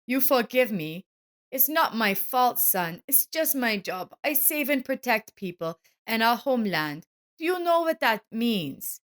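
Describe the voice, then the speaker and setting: A woman's voice: fairly high pitch, very animated, a little slow, pitched above the performer's own voice. A woman voicing a wounded but loving soldier father, earnest and plain-spoken, in a narrated story. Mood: tender, pleading.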